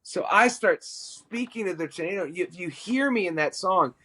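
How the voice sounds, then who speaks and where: A middle-aged man, a worship musician and podcast guest, telling a personal story in an animated, self-amused way. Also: high-pitched, moderate pace, slightly rough.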